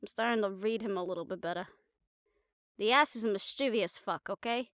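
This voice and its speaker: A woman playing a caring, blunt, teasing young girlfriend in an improvised audio roleplay, chatting casually and haltingly to the listener. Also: medium pitch, fairly bright tone, no echo, clean studio sound, natural voice. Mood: playful, blunt. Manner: casual, halting, playful swearing, affectionate teasing.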